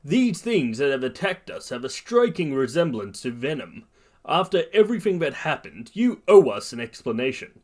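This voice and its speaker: A man's voice: mid-range voice, big pitch swings, moderate pace, pitched above the performer's own voice. A man voicing a formal, earnest, uptight teenage student in a narrated story, speaking insistently.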